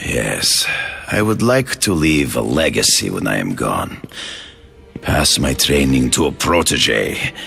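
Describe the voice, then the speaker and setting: An actor playing a proud, honor-bound aging hunter in a video game cutscene, speaking in a grave, measured, formal way, with music behind him. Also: male voice, rumbling low, vocal fry, pushed and bright, exaggerated voice.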